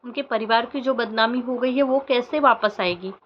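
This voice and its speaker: A woman's voice: on the high side, normal speed, highs cut off, slight background noise. A woman vlogger giving opinionated commentary to her viewers in an insistent way, with pointed rhetorical questions. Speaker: vlogger commenting on social media controversy.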